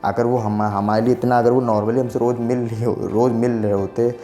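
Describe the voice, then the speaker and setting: A man's voice: medium pitch, little pitch movement, moderate pace, dark tone, reverberant, long reverb tail, soft background music. A man in his twenties casually explaining a science idea, rambling and often checking that the listener follows. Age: 20s. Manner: rambling, repeats filler checks for agreement. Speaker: science explainer channel host. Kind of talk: casual explainer talk to camera.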